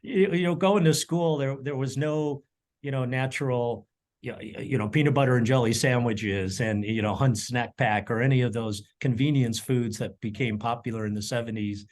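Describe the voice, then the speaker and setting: A middle-aged man, a chef whose first language was German, telling his family story in a relaxed interview with many ums and repeated words. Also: mid-range voice, moderate pace. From United States (Colorado). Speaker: chef and culinary educator, interview guest.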